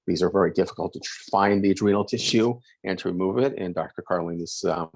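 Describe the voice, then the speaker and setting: A middle-aged man hosting a webinar, talking quickly with many fillers and self-corrections. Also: deep voice, dry recording. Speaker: host, endocrinologist. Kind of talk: webinar presentation. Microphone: video call.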